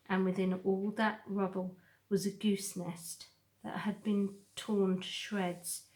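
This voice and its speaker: A woman narrating a children's novel aloud, with measured and expressive storytelling. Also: mid-range voice. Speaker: storybook reader.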